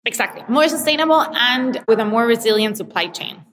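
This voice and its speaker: A woman's voice: fairly high pitch, animated, brisk, fairly bright tone. A woman from Costa Rica answering conversationally as a startup founder and interview guest. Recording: long reverberant room sound.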